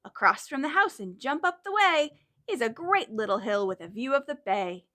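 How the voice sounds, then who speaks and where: Female children's storytime leader, bright and high, encouraging delivery aimed at little ones. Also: normal speed. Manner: animated, encouraging, speaks directly to young children. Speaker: children's librarian leading storytime. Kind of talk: children's storytime with singing and reading aloud.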